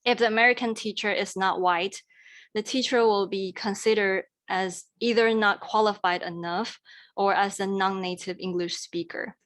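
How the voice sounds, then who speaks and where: A woman's voice: medium pitch, steady tone, normal speed, a bit bright. Earnest young woman presenting. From Taiwan. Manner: earnest, careful delivery with fillers and restarts. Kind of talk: presentation talk.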